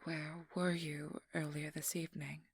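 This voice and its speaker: A woman playing a determined, exasperated vampire hunter with a guarded caring side, speaking softly and often in whispers in an intimate ASMR audio roleplay. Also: deep voice, level tone, unhurried, no echo, exaggerated voice. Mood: stern. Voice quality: soft, breathy, often whispered.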